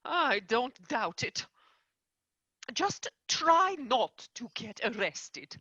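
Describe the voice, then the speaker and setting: A woman's voice: fairly high pitch, very animated, drawn-out words, sharp tone, slightly rough, dry recording, full character voice. A woman playing a prim, formal female tooth fairy boss who is horrified by her staff. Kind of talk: stage play performance.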